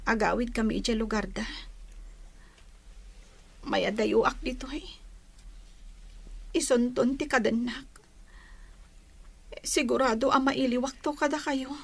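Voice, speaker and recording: A woman's voice: fairly high pitch, measured pace, gravelly, long pauses. A woman with an Ilocano accent acting a dutiful, homesick young bride in a comedy drama. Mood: sorrowful.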